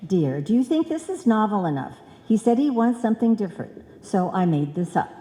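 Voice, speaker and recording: A woman's voice: medium pitch, big pitch swings, a little fast, warm tone, natural voice. A woman playing a scatterbrained, trusting wife in an old-time radio comedy, earnest and muddled. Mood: eager. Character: scatterbrained, trusting, chatty wife and card seller. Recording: echoey live hall.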